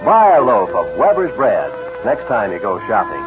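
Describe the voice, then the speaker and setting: A man's voice: on the low side, huge pitch swings, normal speed, over loud music. A man reading a scripted 1940s radio commercial with an earnest, polished salesman's delivery. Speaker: commercial announcer. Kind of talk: 1940s radio advertising read. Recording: dull 1940s radio recording.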